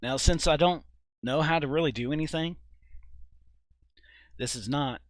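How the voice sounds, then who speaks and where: A man giving an informal software walkthrough at his computer, thinking aloud in a halting, casual way. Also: on the high side, no room sound. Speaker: video creator and host. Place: indoors at a computer.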